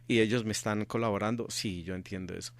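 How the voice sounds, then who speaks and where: A middle-aged man from Colombia speaks in a fairly low voice. He answers an interviewer earnestly and insistently, and he often repeats his main point to drive it home. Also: fairly even intonation, fast talker. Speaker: interview guest, activist father.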